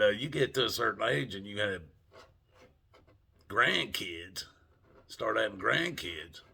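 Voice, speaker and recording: Gravelly, slow-talking older Southern man. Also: lowish voice, sharp tone. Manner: rambling, folksy, salty. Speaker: vlogger. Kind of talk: casual monologue to camera.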